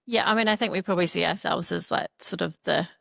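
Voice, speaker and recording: A woman's voice: on the low side, fast talker, gravelly, a bit bright, no room sound, clean studio sound. A woman from New Zealand with a New Zealand accent, co-founder of a startup, chatting in a casual interview, full of "you know" and "like".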